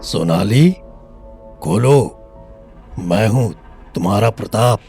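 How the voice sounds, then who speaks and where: A man's voice: fairly low pitch, expressive, slow, gravelly, a little mellow, full character voice. An actor playing a besotted, possessive older man who heads the household and drinks, in an audio drama. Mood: coaxing. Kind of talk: Hindi audio drama acting. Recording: music bed behind the voice.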